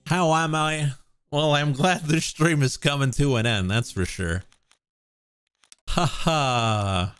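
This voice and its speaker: A young man streaming a game, chatting casually and joking with viewers, his throat a little hoarse. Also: a little high, slow, a bit bright. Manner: joking, self-mocking, musing aloud. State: slightly hoarse. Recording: faint game music behind.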